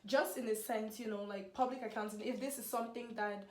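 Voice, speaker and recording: A young woman leads a casual interview with a slightly high voice. She talks in a loose, chatty way, full of "um" and "like". The room around her sounds echoey. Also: fairly even intonation, a little fast, darkish tone. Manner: chatty, many fillers like "like" and "um". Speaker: host.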